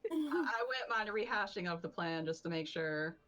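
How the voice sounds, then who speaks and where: A woman's voice: mid-range voice, big pitch swings, normal speed, a loud crowd. A woman playing a live tabletop roleplaying game, casually asking questions. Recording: faint music behind the voice.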